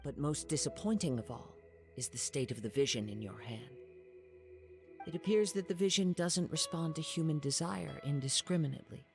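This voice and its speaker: Calm young wanderer-swordsman for an anime game; poetic, unhurried phrasing, music underneath. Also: on the high side, vocal fry. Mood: cool, critical. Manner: composed, measured, eloquent. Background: background music.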